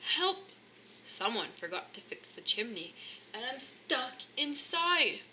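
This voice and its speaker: A woman's voice: sits high, sing-song, slow pace, sharp tone, slight background noise, voice pushed up, exaggerated voice, low-quality recording. A woman voicing a jolly, courteous old Santa in a children's storybook, hearty and politely pleading. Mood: stuck, pleading.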